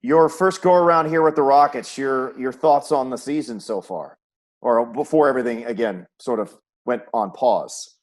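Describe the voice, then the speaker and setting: A man's voice: mid-range voice, moderate pace. An American man working as a sports broadcaster, hosting an interview show with introductions and questions.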